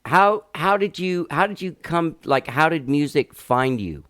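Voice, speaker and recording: Laid-back man in his fifties, lively pitch. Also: a little slow, a little hiss or hum. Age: 50s. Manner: laid-back, chuckling, self-deprecating. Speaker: podcast host. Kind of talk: casual interview chat. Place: dining room full of instruments.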